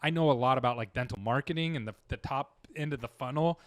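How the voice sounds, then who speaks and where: A man's voice: medium pitch, fast, dry recording. A man, a dental marketing guest in a casual interview, talking earnestly with many restarts. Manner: earnest, rambling, full of restarts.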